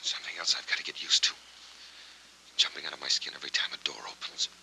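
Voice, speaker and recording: A haunted American war veteran, terse and hard-boiled. The voice sits very low yet cuts with a piercing, tense edge, and the words come quickly. It has the sound of 1940s film noir. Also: male voice, fairly even intonation, creaky, sharp tone, whispered, full character voice, rough recording. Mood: bitter, haunted. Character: haunted amnesiac war veteran, tense, bitter, weary man.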